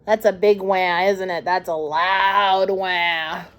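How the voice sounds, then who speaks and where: A woman hosting storytime, reading a picture book aloud to young children in an expressive way with conversational asides. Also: lowish voice, slow.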